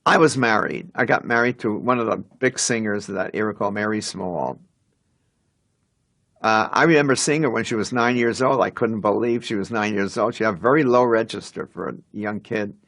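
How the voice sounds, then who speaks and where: An older man looks back on the past in a rambling, loose interview. His gravelly, fairly low voice is recorded dry and close. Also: fairly quick, a bit bright, no room sound. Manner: rambling, with fillers and restarts. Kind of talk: reminiscing interview.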